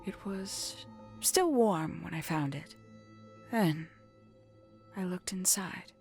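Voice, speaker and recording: Over background music comes a low-pitched woman's voice, secretive and brooding. She unspools her tale deliberately, with theatrical pauses, like a mystery game character guarding a family secret. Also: lively intonation, darkish tone. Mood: haunted, hushed. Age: adult, older than nineteen. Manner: measured, deliberate, theatrical storytelling with dramatic pauses. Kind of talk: video game voice acting, dramatic monologue. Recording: background music under the dialogue.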